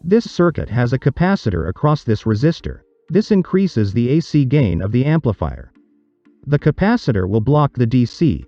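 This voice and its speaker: A man reading a scripted explanatory narration for a tutorial, in a measured, didactic, step-by-step manner. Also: medium pitch, mellow, dry recording, dull audio.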